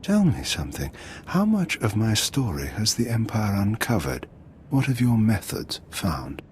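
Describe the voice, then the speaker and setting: An actor playing a bitter, grandiose revolutionary leader in a video game, speaking in deliberate, theatrical speeches. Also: male voice, on the low side, very animated, darkish tone, slight background noise. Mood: probing.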